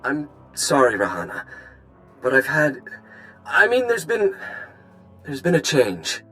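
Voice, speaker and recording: A young noble knight-in-training from a space fantasy game. He is hesitant and apologetic, with a sharp, forceful, slightly high voice. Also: wildly swooping pitch, soft background music, exaggerated voice. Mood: apologetic, strained. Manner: halting, apologetic. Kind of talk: video game voice acting.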